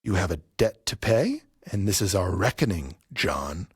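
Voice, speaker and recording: An actor playing a vengeful, grieving middle-aged father in an audio play, speaking with deliberate, theatrical menace. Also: deep voice, very animated, slightly rough, no echo, clean studio sound, exaggerated voice. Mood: quietly menacing. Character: vengeful grieving father, a barber settling an old debt.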